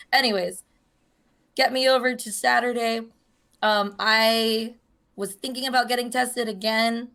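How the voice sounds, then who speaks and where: A young woman with a hoarse voice, co-hosting a podcast in casual chat, telling a long story and acting out the voices of the people in it. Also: fairly high pitch, a bit bright. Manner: chatty storyteller, acts out quoted voices. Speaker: podcast co-host.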